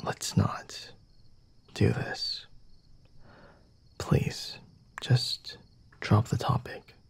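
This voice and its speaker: A man voicing a flirty, refined vampire master in an ASMR roleplay, speaking in a formal, teasing, deliberate way with a husky, breathy, often whispered delivery. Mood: hushed, insistent. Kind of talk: ASMR roleplay, addressing the listener.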